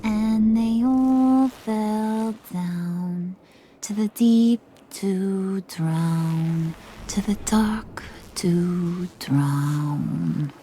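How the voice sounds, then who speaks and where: A woman's voice: mid-range voice, drawn-out words, a little hiss or hum. An actress playing a cocky, volatile female gang boss in a video game, American, teasing and slangy, slipping from singsong to sinister. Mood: wistful, morbid. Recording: loud game music underneath.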